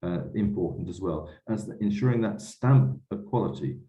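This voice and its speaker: A man moderating a webinar, speaking in a measured, formal and somewhat hesitant way. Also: low voice, animated, warm tone. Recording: echoey room, slightly dull sound.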